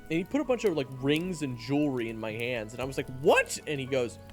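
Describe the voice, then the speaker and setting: A man's voice: medium pitch, animated, fast, natural voice. A man playing a goofy, boastful young human adventurer who tells his own tale in an audio drama, theatrical and joking. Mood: flustered. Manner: theatrical, joking, conversational storytelling. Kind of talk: first-person audio drama narration and dialogue. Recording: echoey, reverberant, music underneath. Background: music.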